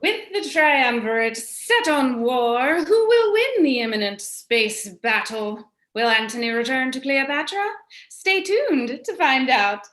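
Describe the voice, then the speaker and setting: A woman's voice: high-pitched, very animated, unhurried, a bit bright, reverberant. A woman narrating a radio play in a dramatic old-time serial style.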